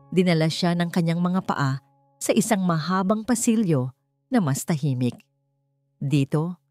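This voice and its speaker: A woman with a Filipino accent narrating a scripted dramatic story in measured, suspenseful short phrases. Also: low-pitched, mellow, dry recording, clean studio sound.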